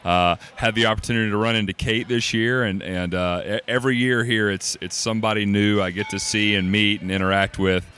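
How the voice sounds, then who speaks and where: A man with a low voice, easygoing and self-deprecating, speaking as a guest in a casual interview on an expo floor. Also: steady tone, fairly quick, hardly any pauses, sharp tone, slight background noise. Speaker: guest, food business owner. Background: crowd ambience.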